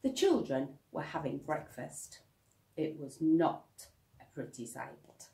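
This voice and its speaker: A woman's voice: low-pitched, big pitch swings, slow pace, creaky, dark tone, a little hiss or hum. A woman narrating a children's storybook like a teacher reading aloud. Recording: echoey room, slightly distant microphone.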